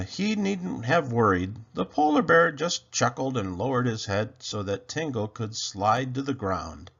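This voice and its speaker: A man narrates a children's story at a slow, deliberate pace. His pitch moves widely for drama, with some creak in the voice. The recording is slightly muffled. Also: fairly bright tone. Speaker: storybook narrator, channel host. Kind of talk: expressive children's read-aloud.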